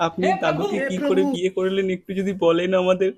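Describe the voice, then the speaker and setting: A man's voice: high voice, level tone, fast talker, over a noisy crowd. A man hosting a prank phone call, chatting casually in a teasing, needling, mock-lovelorn way. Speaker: prank-call video host.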